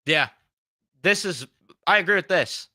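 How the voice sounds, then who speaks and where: A man's voice: high-pitched, animated, measured pace, sharp tone, dry recording, clean studio sound. A man in his twenties hosting a sports fan show, giving blunt, frustrated, swearing commentary while fighting a cold. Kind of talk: casual reaction commentary.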